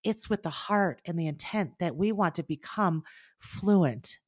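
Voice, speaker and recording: A woman in her thirties whose native tongue is Mandarin, co-hosting a casual faith podcast with earnest, animated talk that speeds up in bursts. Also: fairly low pitch, unhurried, breathy edge, no echo, studio quality. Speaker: podcast co-host.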